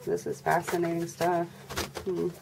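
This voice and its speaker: A congested woman giving a casual tarot reading to her viewers in a flat, monotone way. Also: unhurried, creaky. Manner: monotone, low-energy delivery. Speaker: tarot reader. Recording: noisy recording with birds chirping. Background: birds and background noise.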